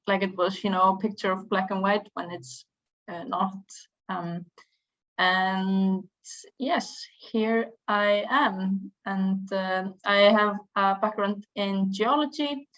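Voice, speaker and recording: A woman's voice: mid-range voice, a bit bright. A young woman in her twenties with an Icelandic accent, chairing a youth environmental association and giving an online conference presentation, hesitant, with many ums and restarts. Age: 20s. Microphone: video call. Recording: dull lo-fi video call audio.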